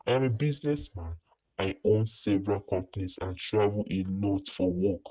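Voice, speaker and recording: Husky, smooth-talking male charmer played by an actor. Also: fairly low pitch, normal speed, warm tone, dull audio, close to an everyday voice. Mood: smooth, charming. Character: smooth-talking young male pretender posing as rich. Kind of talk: storybook dialogue.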